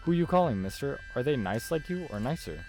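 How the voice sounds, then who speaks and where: A man doing a curious small boy, pitched up. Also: big pitch swings, a little fast, a little mellow, faint music behind, close to an everyday voice, low-quality recording. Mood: hopeful. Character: small curious boy, timid yet eager to help. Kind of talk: visual novel dialogue read aloud.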